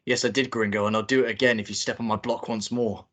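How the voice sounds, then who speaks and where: A man's voice: lowish voice, fairly even intonation, fast talker, a bit bright, close to an everyday voice. A man voicing a tough male gang member, a scrunched paper ball, who taunts in a swaggering way. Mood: taunting.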